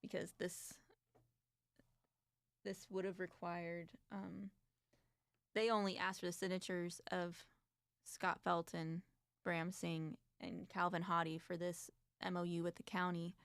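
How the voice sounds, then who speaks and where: A woman's voice: on the low side, flat delivery, a little slow, pauses often, fairly bright tone, smooth, no room sound, clean studio sound. A woman co-hosting an advocacy talk show, chatting casually and reading documents aloud with commentary. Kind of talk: casual discussion.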